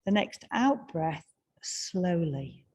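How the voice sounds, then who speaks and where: A woman guiding a breathing meditation in a slow, soft and soothing way, with long pauses. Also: fairly low pitch, expressive, slight background noise. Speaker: meditation guide.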